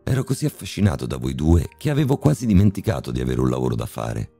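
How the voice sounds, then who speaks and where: A man playing a cold, calm, sadistic male surgeon who speaks with polite, mocking menace in a horror story reading. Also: extremely low pitch, quick pace, gravelly, faint music behind, full character voice. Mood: icy calm.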